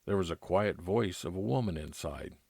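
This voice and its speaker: Casting: man as gumshoe narrator, deep, plain-spoken and matter-of-fact, dry studio sound, radio detective story. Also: lively intonation, normal speed, vocal fry, a little mellow, slightly rough, no echo, full character voice. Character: private detective narrating his own case. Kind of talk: scripted first-person narration, old-time radio detective drama.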